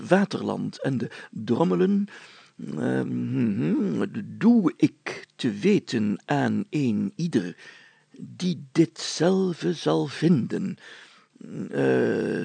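A man voicing a pompous, excitable town mayor in a children's story, spluttering and stumbling over his words. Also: medium pitch, extremely slow, creaky, husky, no room sound, heavily acted. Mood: halting, solemn. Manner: spluttering, hesitant, exclamatory, stumbling while deciphering.

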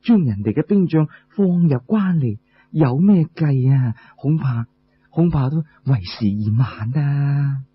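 A man voicing a very husky, shrewd coaxing wife. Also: mid-range voice, animated, slow. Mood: coaxing, lamenting. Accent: Cantonese. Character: shrewd wife and mother, coaxing, plaintive, dramatic. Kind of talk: Cantonese storytelling character voice. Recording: muffled lo-fi recording.

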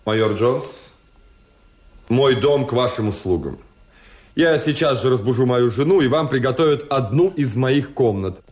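An actor playing a courteous, formal ambassador in a radio drama. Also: male voice, mid-range voice, animated, unhurried, a little mellow, roomy sound, natural voice. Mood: gracious. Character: courteous, formal male Latin American ambassador. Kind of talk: Soviet radio drama acting.

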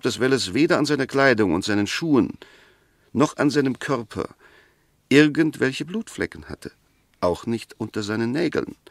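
A defence lawyer in a sixties radio drama, with a fairly low voice in a dry studio sound. He is articulate and formal, building each point methodically with rhetorical emphasis. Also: no echo. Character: measured, incisive male defence lawyer arguing a murder case. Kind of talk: 1960s German radio play acting.

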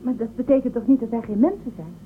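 Woman acting an earnest, high-voiced young spacefarer. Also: fast talker, natural voice. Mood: defiant, protesting. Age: 20s. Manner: earnest, protesting. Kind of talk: Dutch radio drama acting. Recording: tinny phone-like band, loud background noise.

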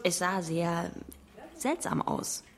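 A woman reading a translated interview as an expressive documentary voice-over. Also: fairly low pitch, takes it slowly.

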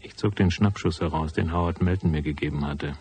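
An actor playing a tough, cynical private detective in a noir radio drama, speaking in a laconic, unsentimental manner. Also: male voice, deep voice, brisk, darkish tone, slightly rough, faint music behind. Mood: brooding, matter-of-fact. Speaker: narrator and lead character.

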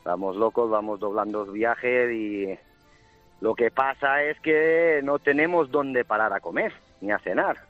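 A male truck driver with a Spanish accent chats casually on a radio phone-in. His mid-pitched voice comes through a dull phone line with faint music behind, plain-spoken and a little jokey. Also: measured pace, no echo. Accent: Spain. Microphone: phone call.

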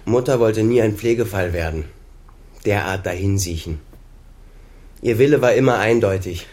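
A man playing an embittered son in his thirties in a radio crime drama. The voice sits low. He speaks deliberately and pointedly, with reproach under the words. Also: a little mellow, lightly acted. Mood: accusing, insistent. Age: 30s. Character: bitter, accusing son of a doctor, thirty-six.

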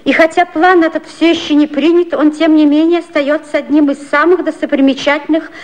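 Actress as a high-voiced, lively young secretary. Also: female voice, a little fast, lightly acted. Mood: brisk. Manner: crisp declamatory reading aloud of newspapers. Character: lively young female secretary to the prime minister. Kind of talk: 1950s radio play acting. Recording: old 1950s radio recording.

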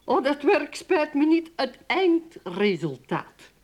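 Embittered, driven career mother in a radio play: intense, unhurried, very expressive intonation. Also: high voice, exaggerated voice. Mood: defiant, irritated. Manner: intense, dramatic, swinging between speech and whispered brooding. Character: bitter, ambitious middle-aged career mother who drinks. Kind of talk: radio drama acting, dialogue and inner monologue.

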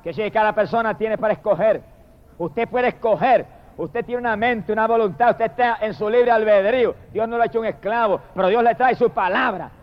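A man's voice: high-pitched, brisk, muffled recording. A man preaching an impassioned sermon, breaking often into cries of praise. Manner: impassioned, repetitive exclamations of praise. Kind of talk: fervent sermon.

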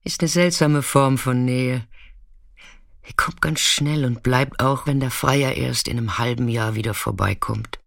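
A man acting in a radio play as a weathered, fearless narrator, speaking in an understated, intimate way with hushed moments. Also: medium pitch, unhurried, gravelly, no echo, clean studio sound. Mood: matter-of-fact, confiding.

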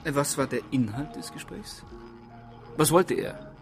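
An actor playing a stern, impatient police inspector in a radio drama, questioning formally and insistently. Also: male voice, on the high side, animated, a little slow, soft background music. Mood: probing. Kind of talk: naturalistic radio drama acting.

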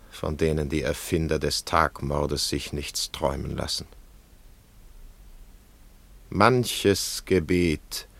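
A grave prophet in a poetic radio drama, speaks extremely slowly in a deep voice. He declaims with solemn emphasis, as if chanting to his people, in a dry studio sound. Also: fairly bright tone, no room sound, full character voice. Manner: incantatory, deliberate, emphatic.

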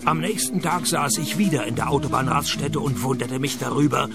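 An actor playing a dry, cynical private detective who narrates in a deadpan, gravelly hardboiled radio-play style. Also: male voice, on the high side, a little fast. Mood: deadpan, wry. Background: music.

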